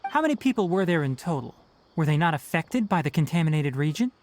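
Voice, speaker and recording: A man playing a stern young male forest ranger and scholar who talks in a knowledgeable, brisk and blunt way, in game cutscene dialogue. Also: a little high, very animated, slight background noise. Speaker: forest watcher. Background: game music.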